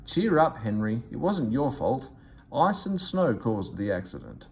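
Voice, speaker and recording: A man's voice: mid-range voice, very animated, a little slow, vocal fry. A man voicing a reassuring, kindly railway boss with authority in a children's storybook.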